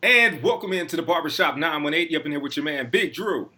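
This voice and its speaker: Lively-voiced man hosting casual talk. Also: on the high side, quick pace, background noise. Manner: lively, laid-back slang, steers the topics. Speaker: host. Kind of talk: casual podcast chat.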